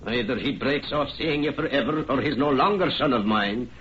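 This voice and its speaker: A man's voice: medium pitch, animated, fairly quick, a bit bright, natural voice. An actor playing a stern, domineering middle-aged Irish farmer father in a declamatory old-time radio drama style. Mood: angry, commanding. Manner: commanding, declamatory. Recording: dull, noisy vintage radio recording.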